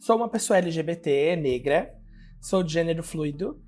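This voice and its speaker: A man in his twenties, a student and drag performer, giving a personal testimony to camera in a lively, expressive way. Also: a little high, normal speed, faint music behind. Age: 20s.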